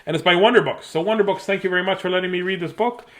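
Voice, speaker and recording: A man with a fairly high voice hosts a casual bedtime story reading. He is playful and silly, wandering off into self-deprecating asides as he goes. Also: rapid-fire, a bit bright. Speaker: children's story reader, host.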